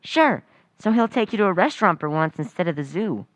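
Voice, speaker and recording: An eager teenage boy, as acted by a woman from an old-time radio comedy. The voice sits fairly low and chatters with earnest, florid politeness. The recording is close and dry. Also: animated, fairly quick, dry recording, natural voice. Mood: chatty, earnest. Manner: earnest, gushing, boyish.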